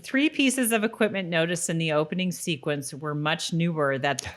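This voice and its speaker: A woman's voice: low-pitched, moderate pace. A woman co-hosting a podcast, chatting casually and reading notes aloud in an informal, slightly stumbling way. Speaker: podcast co-host.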